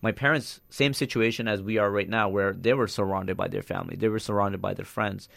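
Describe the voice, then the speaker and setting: Low, creaky-voiced man telling personal stories. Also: steady tone, fairly quick, fairly bright tone, no echo. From Saudi Arabia, later moved to Canada. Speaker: guest, motivational speaker. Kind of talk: casual personal storytelling.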